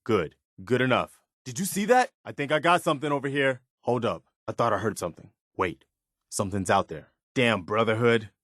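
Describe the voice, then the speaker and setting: A man's voice: mid-range voice, expressive, fairly quick, a bit bright, dry recording, lightly acted. Man acting as loyal soldier companion, male, earnest, direct, battle-weary, close dry recording, video game dialogue. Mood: calm, wry. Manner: earnest, direct, military phrasing. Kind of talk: scripted game dialogue and combat barks.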